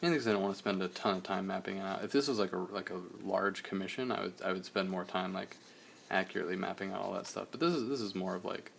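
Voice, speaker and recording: A young man, slightly hoarse, chatting casually in a relaxed, rambling way while he streams a drawing session. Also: deep voice, fast talker, slight background noise. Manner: relaxed, rambling, many fillers.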